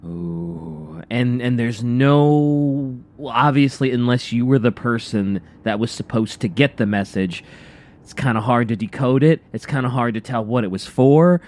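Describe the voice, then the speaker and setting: A man's voice: mid-range voice, measured pace, a little mellow. A man co-hosting a radio show in a studio, chatting casually and reactively, often repeating his phrases.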